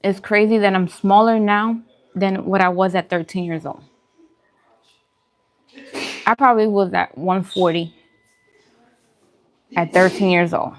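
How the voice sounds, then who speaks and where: A woman's voice: mid-range voice, fairly even intonation, unhurried, long pauses, slight background noise. A woman chatting casually on a livestream as host while she eats, informal and self-deprecating in her manner. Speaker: vlogger hosting a live mukbang stream.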